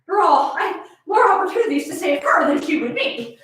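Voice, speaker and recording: A woman's voice: very high voice, expressive, fairly quick, a bit bright, slight background noise. A woman voicing a cocky, athletic young heroine, proud and determined, in a dramatic story reading. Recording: very echoey room, far from mic.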